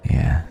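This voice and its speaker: A man playing a refined, wistful, centuries-old vampire in an intimate ASMR roleplay, speaking reflectively with lingering pauses. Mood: silky, predatory.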